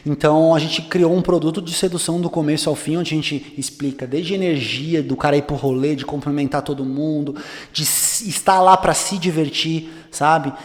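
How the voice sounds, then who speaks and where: A young man talks through his advice in a loose, coaching way, full of fillers and restarts. His voice sits a little high, and a long reverb tail hangs behind it in the studio. The feel is relaxed podcast conversation. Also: normal speed.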